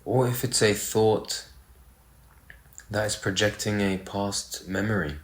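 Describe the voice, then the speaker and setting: A man with a deep voice leads a guided meditation in a roomy, echoing space. He speaks very slowly, setting out short phrases and leaving pauses between them. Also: steady tone. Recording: roomy, echoey sound.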